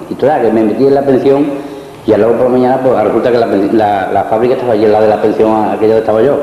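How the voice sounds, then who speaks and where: A man with an accent from Spain recalling his life in a rambling, colloquial, repetitive way as an interviewee, in a muffled recording. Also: mid-range voice. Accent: Spanish from Spain. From Cañada, Spain. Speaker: interviewee, former emigrant worker. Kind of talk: informal spoken recollection. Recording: muffled, very echoey hall with noise.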